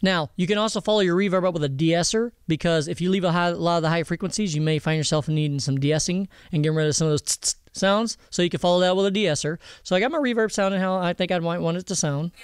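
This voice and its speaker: A man giving a casual software tutorial, explaining informally and directly to viewers. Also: high-pitched, fast talker, few pauses, clean tone, no room sound, studio quality. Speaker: audio mixing instructor. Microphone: close voice-over mic over screen recording.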